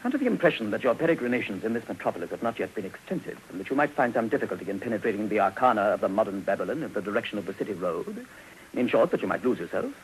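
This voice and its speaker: An actor playing a florid, middle-aged English debtor gentleman in a 1950s radio drama, orotund and halting before plain restatements. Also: lowish voice, very animated, slight background noise, low-quality recording. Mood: pompous, genial.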